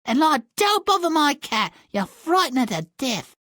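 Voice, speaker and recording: A flighty, self-absorbed young heroine from a comic fairy-tale game, played by a man. The voice is high and swings widely in pitch. The talk is breezy and materialistic, with a dry studio sound. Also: sing-song, normal speed, pushed and bright, no echo, full character voice. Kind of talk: comic video game cutscene acting.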